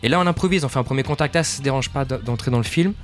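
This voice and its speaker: A man from Portugal, a film director being interviewed, talking fast and searching for words as he explains at length. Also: medium pitch, faint music behind. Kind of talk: interview answers.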